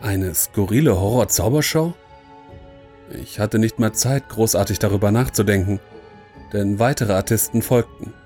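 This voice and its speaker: A man's voice: on the low side, warm tone. A man reading a scripted first-person horror story as its narrator.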